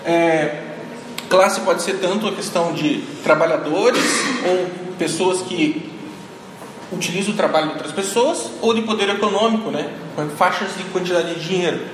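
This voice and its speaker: A man's voice: high-pitched, normal speed. Man lecturing in an echoey hall. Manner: frequent "né?" tag questions, thinking aloud. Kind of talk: classroom lecture. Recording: echoey hall, noisy ambience.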